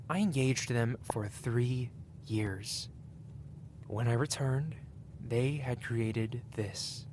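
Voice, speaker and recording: A man's voice: medium pitch, darkish tone, slight background noise, natural voice. A man playing a wealthy, possessive dragon patron in an intimate ASMR roleplay, speaking in a refined, teasing, purring manner. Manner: purring, teasing, elegant unhurried phrasing.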